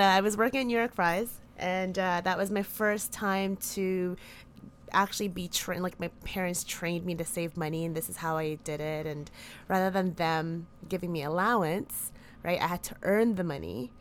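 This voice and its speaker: A woman's voice: lowish voice, normal speed, slight background noise. A woman in her thirties, born in the Philippines, answering interview questions in a chatty way that often breaks into laughter. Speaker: podcast guest.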